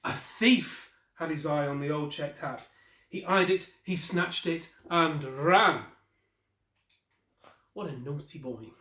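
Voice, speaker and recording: Echoey, higher-pitched man reading a storybook. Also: slow pace. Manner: expressive storyteller with brief asides to listeners. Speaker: teacher reading a picture book aloud. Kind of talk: storybook read-aloud. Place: small wallpapered room indoors. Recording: roomy, echoey indoor recording.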